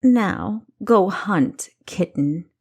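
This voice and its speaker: A woman voicing a wicked, commanding king in an audiobook, mocking and deliberate in the way he speaks. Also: medium pitch, animated, darkish tone, slightly rough, full character voice. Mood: sly, menacing. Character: wicked, commanding king, male, menacing and teasing. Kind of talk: audiobook character dialogue.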